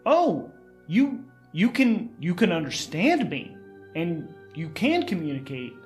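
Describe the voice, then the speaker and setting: A man's voice: high voice, big pitch swings, slow, soft background music, voice pushed up, heavily acted. A man playing a confused, polite amnesiac spaceship crewman who is hesitant, stammering and eager in an audio drama. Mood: startled, tentative.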